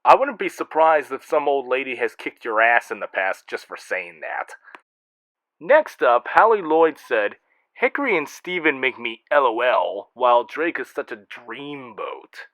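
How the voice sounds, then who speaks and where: A man's voice: mid-range voice, very animated, a little slow, a bit bright, dry recording, low-quality recording. A man hosting and narrating in a scripted comic style, reading fan mail with a wry, theatrical manner.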